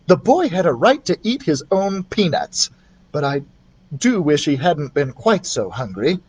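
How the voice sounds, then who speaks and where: A man voicing a worried but brave mother mouse in a storybook, gentle and fussing. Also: a little high, sing-song, unhurried, breathy edge, voice pushed up, heavily acted. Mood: gently fussing.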